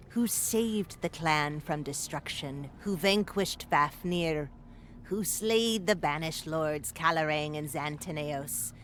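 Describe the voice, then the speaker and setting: A solemn priestess from a fantasy game. Her voice is very low and measured, chanting blessings with slow ceremony. Some noisy ambience sits behind her. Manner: solemn, incantatory.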